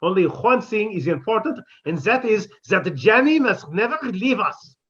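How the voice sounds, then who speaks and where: A man's voice: sits high, very animated, normal speed, a bit bright, slightly rough, full character voice. A man playing a sardonic, scheming older professor who delivers droll wisecracks in a 1950s-style radio comedy. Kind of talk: 1950s radio sitcom acting. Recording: dull lo-fi sound.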